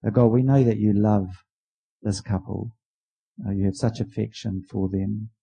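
A man leading a church service, welcoming a guest and praying in an informal, halting way. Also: on the low side, steady tone, vocal fry, dark tone, slightly rough, muffled recording. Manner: informal and halting, many restarts. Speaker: church leader.

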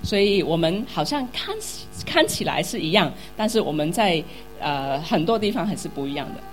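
A woman's voice: fairly low pitch, animated, normal speed, soft background music. A woman interpreting a sermon into Mandarin, which is not her first language, hesitant and full of fillers.

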